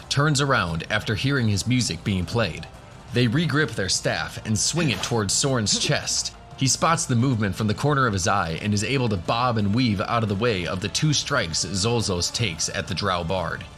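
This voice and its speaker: A man's voice: fairly low pitch, a bit bright. A man giving scripted narration for a fantasy audio drama. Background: music.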